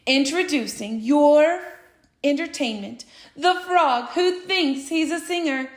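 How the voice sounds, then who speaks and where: A woman doing a brisk, impatient fox. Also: sits high. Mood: impatient, showy. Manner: brisk, dismissive. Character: impatient, slick male fox showman in charge. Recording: roomy echo.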